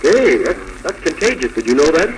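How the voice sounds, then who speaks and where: A man's voice: on the high side, very animated, normal speed. An American man hosting a live radio show in 1940s style, chatty and bantering with guests. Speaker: radio show host, master of ceremonies. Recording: noisy vintage 1940s radio broadcast.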